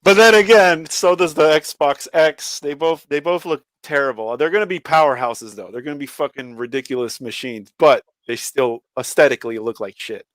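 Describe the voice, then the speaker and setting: Man, fairly high-pitched, blunt and opinionated, casual co-host chat, sweary, close dry recording. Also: moderate pace, few pauses, fairly bright tone, no echo. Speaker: podcast co-host.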